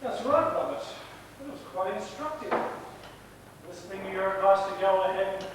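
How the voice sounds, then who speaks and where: An actor playing a scornful, blunt rival in a stage drama, with a taunting manner. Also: male voice, animated, moderate pace. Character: scornful, blunt male rival in a love triangle. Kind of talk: theatrical stage drama acting. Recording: echoey hall, distant mic, faint rumbling noise.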